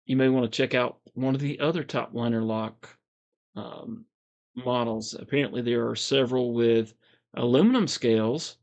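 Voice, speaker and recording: Calm, gravelly man chatting. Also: mid-range voice. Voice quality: calm, soothing voice. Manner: relaxed, meandering, frequent fillers and soft chuckles. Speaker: livestream host. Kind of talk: casual livestream chat reading comments.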